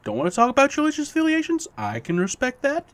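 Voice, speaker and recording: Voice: high voice, huge pitch swings, fast, no echo. An actor in a fiction podcast playing a taunting, playfully sinister best friend, teasing and mock-wounded in a theatrical way.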